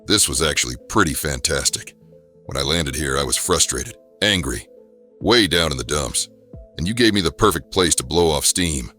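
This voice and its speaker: A very deep, forceful comic book bruiser made of rock, big-hearted and cocky. He talks in New tough-guy slang with a gruff edge, over music. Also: expressive, normal speed, exaggerated voice. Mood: relieved, friendly. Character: gruff, wisecracking rock-skinned superhero brawler, big and good-hearted.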